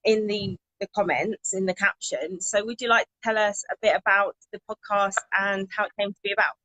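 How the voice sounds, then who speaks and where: A bubbly, enthusiastic woman hosts a live interview from her phone. Her voice is mid-pitched and recorded close and dry, with no room sound. She welcomes and praises her guest eagerly. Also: steady tone, fairly quick, bright, forceful tone, dry recording, rough recording. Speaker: confidence coach, live-stream host. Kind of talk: live social media interview.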